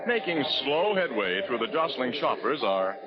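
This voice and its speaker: A male announcer from the golden age of radio, formal and emphatic. His pitch moves in lively sweeps over clear music, on a dull, muffled recording. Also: normal speed. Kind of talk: 1940s radio announcing, scripted narration.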